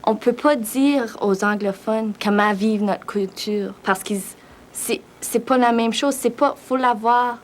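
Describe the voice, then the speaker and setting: A woman's voice: a little high, unhurried, a little hiss or hum. A woman speaking firmly and plainly in an interview.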